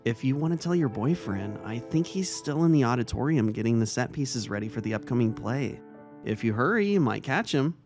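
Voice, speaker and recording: A man acting a kindly, caring male vice-principal and mentor in a dramatic story reading, speaking gently and earnestly. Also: mid-range voice, lively intonation, brisk, lightly acted. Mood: reassuring, caring. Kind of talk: dramatic reading of fan fiction. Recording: music under the voice.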